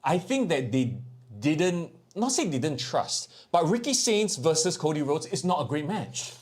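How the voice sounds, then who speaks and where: A young Singaporean man co-hosting a wrestling podcast, animated and jokey, dropping Singlish into casual chat. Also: on the high side, measured pace. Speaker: podcast co-host.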